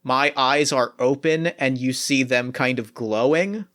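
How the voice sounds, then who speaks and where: A man narrating as game master of a tabletop game, halting and full of restarts. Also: medium pitch, fairly bright tone. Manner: halting, with many fillers and restarts.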